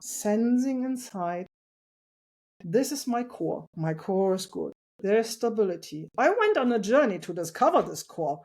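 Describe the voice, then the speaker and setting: A woman talking as a podcast guest about parenting, earnest and reflective, with a loud voice and light laughs. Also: medium pitch, very animated, measured pace, breathy edge. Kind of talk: casual interview conversation.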